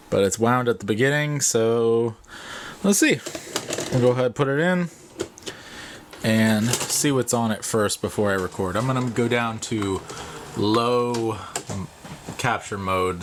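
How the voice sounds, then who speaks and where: A man in his thirties giving a casual vlog demonstration, unhurried and offhand as he thinks aloud. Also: on the low side. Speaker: vlogger. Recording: clear background noise, clicks.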